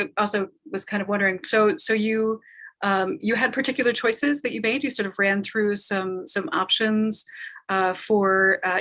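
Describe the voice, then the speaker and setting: A woman moderating a live panel discussion, speaking in a halting, thoughtful way that circles around her phrasing. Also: mid-range voice, fairly bright tone. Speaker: talkback moderator.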